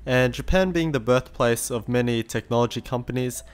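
A man's voice: medium pitch, normal speed. A young man with an Australian accent, narrating an easygoing voice-over of a shopping trip. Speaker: vlogger.

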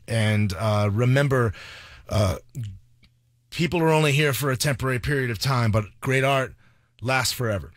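An American man hosting a radio show, talking off the cuff in an earnest, halting way. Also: medium pitch, no room sound, studio quality. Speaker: radio host. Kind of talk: unscripted radio talk, tribute. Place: radio studio.

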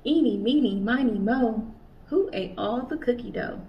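A woman's voice: a little high, normal speed. A young adult woman, a children's librarian, reading a picture book aloud to kids in a playful sing-song way, from a small indoor room. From United States. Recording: slightly distant, dull, faint hiss.

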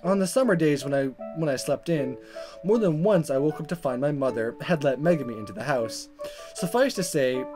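A woman let's player reading a visual novel aloud in expressive narration with informal asides and stumbles over the script. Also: deep voice, brisk. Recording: music behind the voice.

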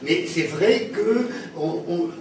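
A man's voice: sits high, animated, slow pace. A man recalling an actor at a panel in a classroom, talking in a hesitant way with many fillers and restarts. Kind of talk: anecdotal conversation. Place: classroom with chalkboard, indoors. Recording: echoey room, distant mic, audience noise.

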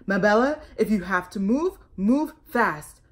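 A woman voicing a wise mouse father in a storybook, slowly and emphatically giving his daughter advice. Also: medium pitch, animated. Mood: earnest warning. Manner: slow, emphatic, instructive.

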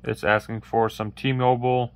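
Flat-voiced man with a rambling how-to delivery. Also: mid-range voice, moderate pace, clean tone. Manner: rambling, many fillers and restarts. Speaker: tech tutorial host. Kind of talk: casual how-to walkthrough. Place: indoors at a wooden table, voice-over of hands-only phone demo.

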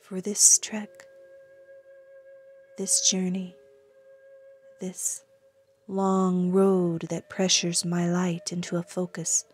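A woman recites her own poem in a breathy, often whispery voice, with deliberate, reverent phrasing and pauses. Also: on the low side, a little mellow. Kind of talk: slow contemplative poetry recitation.

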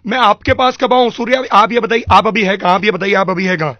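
A man's voice: high voice, fairly even intonation, fast talker, lightly acted. A man with an Indian accent playing an irate, pushy vendor who badgers and repeats demands on a prank phone call. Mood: impatient. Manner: badgering, repetitive, accusatory. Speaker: prank caller. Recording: dull lo-fi radio recording.

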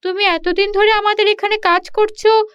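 A woman's voice: very high-pitched, fairly even intonation, moderate pace, a bit bright, dull audio, pitched above the performer's own voice. A woman voicing a landlord's wife in a children's cartoon, questioning in an incredulous, surprised manner.

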